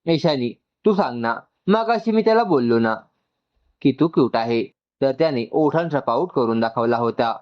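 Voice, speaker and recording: A man voicing an excitable, doting young new father in a story, gushing and mixing in English. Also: mid-range voice, normal speed, dull audio, natural voice. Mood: excited, gushing.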